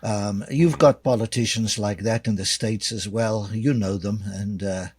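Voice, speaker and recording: An older man from South Africa with a South African accent, a guest author answering interview questions in a measured, dryly sarcastic way with many fillers. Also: fairly low pitch, steady tone, a little mellow, husky, no room sound, clean studio sound.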